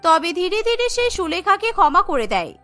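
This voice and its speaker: A woman's voice: extremely high pitch, sing-song, brisk, sharp tone, soft background music. A woman narrating a scripted cartoon story as its storyteller.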